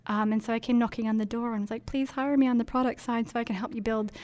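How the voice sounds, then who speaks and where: A woman's voice: fairly high pitch, level tone, fast talker, a little mellow. A woman from Denmark answering interview questions about marketing in a chatty, earnest way, with many ums and likes. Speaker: marketing executive.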